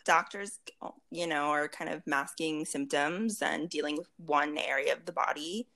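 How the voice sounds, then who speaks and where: A woman in her late twenties speaks as a guest in a relaxed interview, her voice low and marked by vocal fry. She talks easily and at length, with frequent fillers, restarts and small laughs. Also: moderate pace. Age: 20s. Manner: chatty, laughs often, many fillers and restarts. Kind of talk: casual video chat interview.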